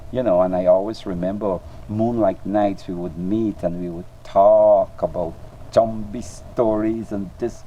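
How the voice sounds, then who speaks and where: A man's voice: low voice, dark tone, slight background noise, rough recording. An older man with a Guyanese accent, a storyteller and clown giving earnest interview answers, often repeating words as he searches for them.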